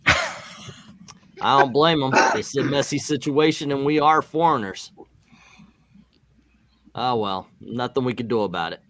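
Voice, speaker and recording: A man's voice: medium pitch, unhurried, long pauses, fairly bright tone, a little hiss or hum. A man doing a casual, jokey and hammy read-aloud of a comic book. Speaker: channel host reading comics aloud.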